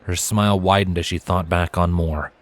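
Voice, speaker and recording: A man's voice: sits low, steady tone, moderate pace, no room sound. A man reading scripted story narration as the narrator.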